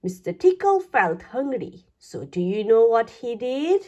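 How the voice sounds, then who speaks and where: A woman reading a children's picture book aloud, with expressive, deliberate phrasing aimed at young listeners. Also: fairly high pitch, muffled recording. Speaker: children's story reader. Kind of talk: read-aloud children's storytelling.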